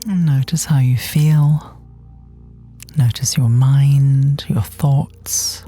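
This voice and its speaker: A woman with a soft, gentle, breathy voice that often nears a whisper, guiding a body scan meditation in a soothing way with long pauses. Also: very deep voice, fairly even intonation. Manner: soothing, unhurried, long pauses between phrases. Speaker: guided meditation teacher. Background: soft meditation music.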